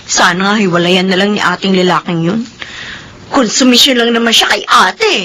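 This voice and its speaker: A woman's voice: mid-range voice, moderate pace, sharp tone, close to an everyday voice. An actress playing a young pregnant woman in a classic radio drama, complaining and groaning in pain. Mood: weary. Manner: complaining, then crying out in pain. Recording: noisy old radio drama recording.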